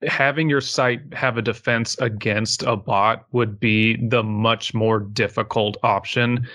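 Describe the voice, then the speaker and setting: A man's voice: lowish voice, fairly even intonation, unhurried, a bit bright. A man co-hosting a podcast in casual discussion, thinking aloud and hedging as he goes. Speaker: podcast co-host.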